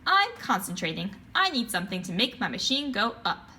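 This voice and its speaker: A woman's voice: mid-range voice, sing-song, fairly quick, bright, forceful tone, slight background noise. A woman voicing a determined, stubborn young boy in a picture book, insistent and self-assured. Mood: annoyed. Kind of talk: children's picture book read-aloud.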